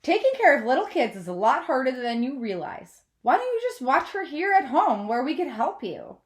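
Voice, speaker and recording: A gentle, protective mother from a storybook, acted out by a woman reader. She sounds doubtful and questioning, with very lively rises and falls in pitch. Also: moderate pace, slight background noise. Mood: worried. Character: gentle, doubtful, overprotective mother of a seventh-grader.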